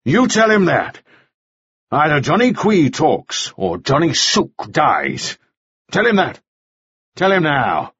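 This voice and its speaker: A man voicing a volatile, bullying gold-rush villain in an audiobook, forceful and sarcastic. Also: on the high side, expressive, normal speed, husky, dry recording, muffled recording, pitched above the performer's own voice. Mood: threatening.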